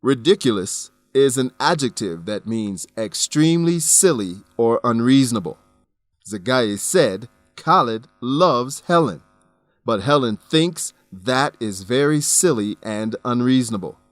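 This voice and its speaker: A man narrates a scripted English lesson at a medium pitch. His delivery is measured and instructional, recorded very dry with light music. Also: expressive, dry recording. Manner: measured, didactic.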